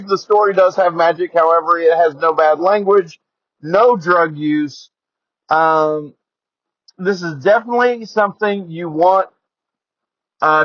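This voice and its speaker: A man with a slightly high voice hosts a casual podcast. He talks informally and haltingly, repeating words as he goes. The sound is close and dry, recorded in a car. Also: a bit bright, no room sound, dull audio. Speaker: podcast host. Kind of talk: casual solo podcast monologue and review. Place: inside a car during rain. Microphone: headset or earphone mic.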